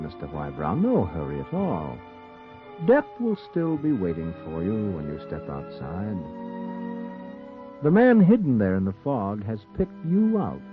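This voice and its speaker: A low, menacing storyteller in a 1940s radio thriller, taunts a doomed man directly. His theatrical delivery rises and falls dramatically. The old recording is tinny, with prominent music behind. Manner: theatrical, ominous, insistent second-person address. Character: sinister, taunting male narrator addressing a doomed man.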